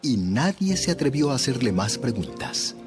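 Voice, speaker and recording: A male narrator reads a scripted, dramatized audio Bible. His pitch is average for a man, and music plays clearly behind him. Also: big pitch swings, moderate pace.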